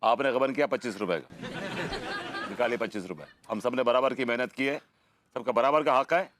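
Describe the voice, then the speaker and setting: A man's voice: medium pitch, normal speed, crowd noise, noisy background, lightly acted. An actor playing a bossy, righteous spokesman for a beggar gang in a broad sitcom, mixing in English phrases. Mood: accusing. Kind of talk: broad Hindi sitcom acting.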